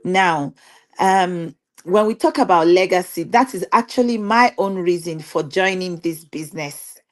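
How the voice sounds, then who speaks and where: A woman's voice: lowish voice, a little slow. A middle-aged woman from Nigeria with a Nigerian accent gives a personal talk to an online audience, earnestly repeating phrases for emphasis. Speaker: guest speaker, digital business owner.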